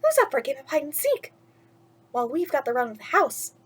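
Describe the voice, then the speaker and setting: A kind, dreamy young heroine from a storybook, acted out by a woman reader. The voice is very high and sweet, with a gentle sing-song cheerfulness. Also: sing-song, fast talker, a little hiss or hum. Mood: playful.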